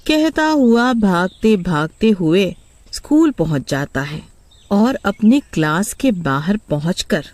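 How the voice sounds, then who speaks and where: Expressive woman narrating a story. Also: mid-range voice, slow pace, no echo. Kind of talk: scripted children's horror story narration.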